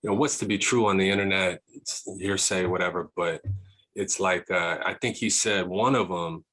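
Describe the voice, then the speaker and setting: A man with a congested voice from a cough, explaining his view in a measured, casual chat as a podcast guest. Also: low-pitched, fairly even intonation, fairly bright tone, poor audio.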